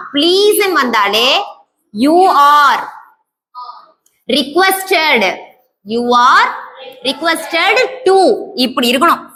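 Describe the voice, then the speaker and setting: Teacher lady with a high bright voice going over grammar rules, asks the class stuff then answers it, drags out the english example lines word by word. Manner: explains step by step, asks the class questions and answers them herself; reads English example sentences out very slowly, word by word.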